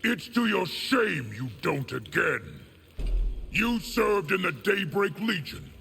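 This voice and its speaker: An actor playing a proud, contemptuous military general in fighting-game dialogue, haughty and commanding with a gravelly voice. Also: male voice, high-pitched, lively intonation, takes it slowly, a little hiss or hum, exaggerated voice. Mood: haughty contempt. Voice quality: gravelly, forceful.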